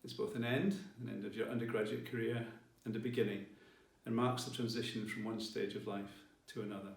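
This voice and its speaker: A man giving a formal ceremonial address as a college leader, with measured, deliberate phrasing. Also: lowish voice, level tone, vocal fry. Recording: roomy, distant mic, faint music behind.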